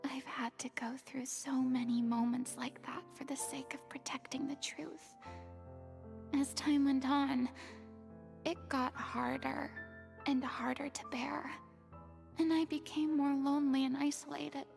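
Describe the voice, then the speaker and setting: Remorseful young woman, game character, soft half-whispered voice, gravelly, high-pitched. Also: little pitch movement, unhurried, soft background music. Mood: wistful. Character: remorseful young woman, former goddess pretender.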